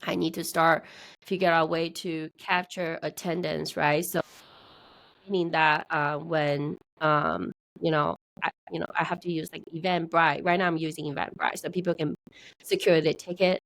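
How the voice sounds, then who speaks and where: Soft-voiced woman, halting and lowish. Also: fairly even intonation, rough recording. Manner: halting, with many fillers and restarts. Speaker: interview guest, meetup organizer. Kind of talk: casual interview conversation.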